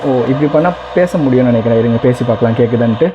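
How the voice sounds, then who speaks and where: A man's voice: mid-range voice, very fast, background noise. Man, casual unboxing host, dull audio. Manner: conversational, mixes in English words. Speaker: video host. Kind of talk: casual product unboxing commentary. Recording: dull lo-fi, faint music behind. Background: faint music.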